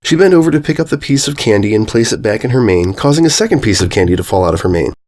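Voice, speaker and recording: A man reads a story aloud as its narrator. His mid-range voice moves around a lot in pitch, giving the scripted prose an expressive, animated feel. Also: quick pace. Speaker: fan fiction narrator.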